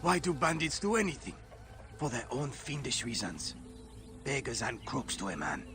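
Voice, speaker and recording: An actor playing a bitter, world-weary villager of ancient Egypt in a video game. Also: male voice, medium pitch, animated, moderate pace, vocal fry, over music. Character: embittered, respectful male villager of ancient Egypt. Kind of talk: dramatic video game cutscene acting.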